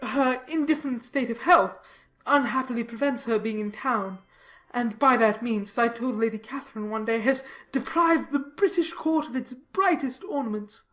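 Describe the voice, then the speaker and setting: Woman playing a pompous, fawning clergyman, high-pitched. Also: moderate pace, fairly bright tone, soft background music, close to an everyday voice. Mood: fawning, self-satisfied. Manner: pompous, wordy, formal. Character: pompous, fawning young clergyman, self-important and obsequious. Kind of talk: dramatized audiobook reading of classic novel.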